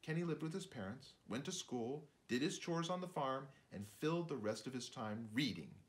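A man's voice: mid-range voice, expressive, moderate pace. A man in his fifties, a children's author, speaking informally and reading storybooks aloud at home in his book-lined studio.

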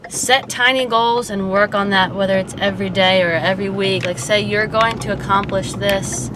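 A woman's voice: medium pitch, fairly even intonation, normal speed. A young woman in her twenties with a slight Baltimore accent, chatting casually and upbeat as a fitness vlogger while she drives, filmed from a dashboard camera. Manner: rambling, upbeat, frequent "like" fillers. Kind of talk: casual vlog chat. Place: inside a car while driving. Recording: loud car road noise. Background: car engine and road noise.

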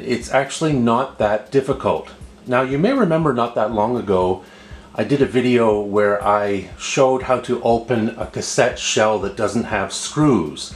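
A man narrating an unscripted step-by-step tutorial, explaining patiently and repeating himself as he goes. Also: fairly low pitch. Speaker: host of a how-to video. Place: indoor room at a table. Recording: roomy, echoing indoor voice recording.

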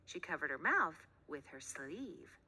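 A woman reading a children's storybook aloud as narrator, with an expressive delivery. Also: low voice, a little slow, husky.

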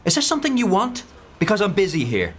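Troubled, defensive young man, lively pitch. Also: quick pace, slight background noise. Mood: irritated, defensive. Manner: terse, edgy, defensive. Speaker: actor. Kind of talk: audio drama acting. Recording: clear music under the voice.

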